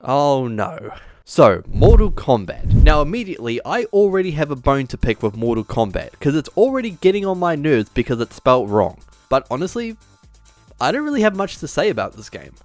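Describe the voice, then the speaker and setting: A man's voice: on the high side, very animated, normal speed, a little mellow, dry recording, faint music behind. A man presenting an opinionated video essay in a casual way, partly scripted, as the host. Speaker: YouTube host.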